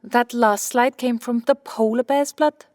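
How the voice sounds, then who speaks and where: A woman playing a brisk, clinical scientist wife in an audiobook drama, matter-of-fact and firm. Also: a little high, steady tone, darkish tone, no room sound, clean studio sound, close to an everyday voice. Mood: clinical, analytical. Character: Santa's brisk, clinical scientist wife, immortal, coolly determined.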